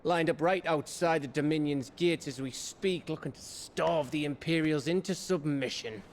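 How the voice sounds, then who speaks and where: A man with a British accent playing a cheeky, rough-mouthed scout and loyal pal in a fantasy game, chummy and irreverent. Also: fairly high pitch, normal speed, slight background noise, lightly acted. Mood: wry briefing. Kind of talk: dramatic fantasy game acting.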